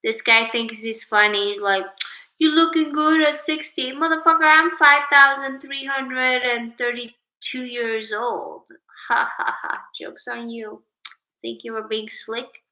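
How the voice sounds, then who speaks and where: Woman livestream host, higher voice with a bright edge, unhurried and chatty. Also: steady tone, reverberant, low-quality recording. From Sweden. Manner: chatty, reads viewer names aloud, drifts into spiritual musing. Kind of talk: casual livestream chat reading comments.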